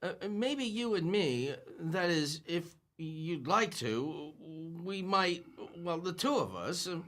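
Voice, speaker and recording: A man's voice: sits high, lively intonation, a bit bright, heavily acted. A man playing a stammering timid young clerk. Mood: nervous. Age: young adult. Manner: stammering, halting, repeats words. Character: timid young male store clerk, bashful. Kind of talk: 1940s radio acting.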